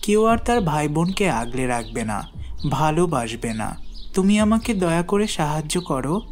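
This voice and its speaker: Cartoon boy hero, female actor: earnest and determined, fairly low for the type, very animated intonation. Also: normal speed, slight background noise. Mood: determined pleading. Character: brave, earnest young boy devoted to his sister. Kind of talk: animated fairy-tale cartoon acting.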